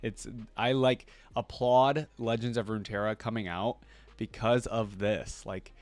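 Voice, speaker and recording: A man's voice: fairly low pitch, fairly even intonation, unhurried, no room sound. A young man hosting a reaction video, chatting casually with lots of fillers.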